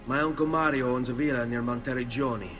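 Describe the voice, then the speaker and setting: A man's voice: mid-range voice, fairly even intonation, fast, music in the background, natural voice. An actor playing an earnest, protective young Italian nobleman hero in a video game drama. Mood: grave, gentle.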